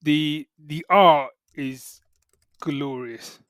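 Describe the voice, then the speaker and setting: A middle-aged British man chatting casually as a podcast co-host, halting and correcting himself as he goes. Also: on the high side, a bit bright, dry recording, clean studio sound. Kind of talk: casual chat, geek reviews.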